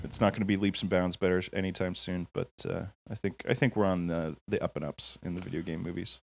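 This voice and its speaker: A man with a deep voice co-hosts a podcast. His delivery is informal and conversational, like chatting among friends. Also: steady tone, fast talker, gravelly. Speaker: podcast co-host. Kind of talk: casual conversational chat.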